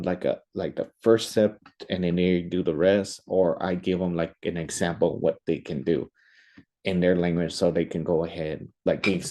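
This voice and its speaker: Low, mellow-voiced young male teacher. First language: Spanish. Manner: hesitant, many fillers and restarts. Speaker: math teacher. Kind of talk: casual spoken presentation.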